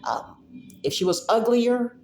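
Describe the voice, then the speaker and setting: A woman's voice: fairly high pitch, expressive, a little slow, noisy background. A young woman from Cameroon, talking casually and emphatically to the camera, with restarts and frequent laughs. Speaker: software developer talking to camera. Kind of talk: casual monologue.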